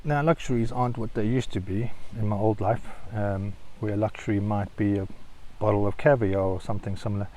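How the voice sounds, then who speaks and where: A man with a South African accent talking casually in a vlog, working through points in a measured, conversational way. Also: fairly low pitch, fairly even intonation, dark tone, a little hiss or hum. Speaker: vlogger. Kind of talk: casual vlog talk to camera.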